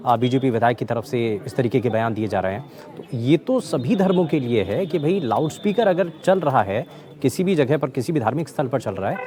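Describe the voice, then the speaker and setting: A man's voice: medium pitch, darkish tone. A male news anchor putting questions to a correspondent live, in a conversational way with repeated phrasing.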